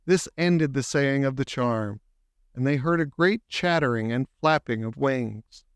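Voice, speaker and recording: Unhurried, crisp male storybook narrator. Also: mid-range voice, no echo, studio quality. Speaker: audiobook narrator. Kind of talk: scripted children's story narration.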